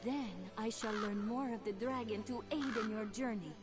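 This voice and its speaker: A woman's voice: fairly high pitch, moderate pace, loud music behind the voice, close to an everyday voice. A woman playing an earnest, devoted companion in a fantasy video game, speaking formally.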